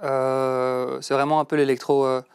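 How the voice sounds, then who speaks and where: A man's voice: medium pitch, level tone, a little slow, clean tone, no echo, studio quality. A man from Belgium with a Brussels accent, a guest chatting easily with lots of hesitant fillers. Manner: easygoing, hesitant fillers, laughs often. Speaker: guest, DJ and producer. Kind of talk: casual chat.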